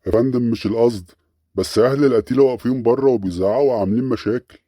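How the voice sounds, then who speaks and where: A man's voice: mid-range voice, very fast, dark tone, no room sound, clean studio sound, lightly acted. A man voicing a harried, dutiful police sergeant in an Egyptian comedy cartoon, urgent yet deferential, with an Egyptian accent. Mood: pleading.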